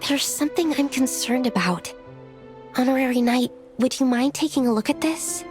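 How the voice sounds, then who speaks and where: An actress playing a polite, earnest young magic researcher with a formal, careful manner in a video game. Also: female voice, sits high, moderate pace, music in the background, natural voice. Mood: earnest, puzzled.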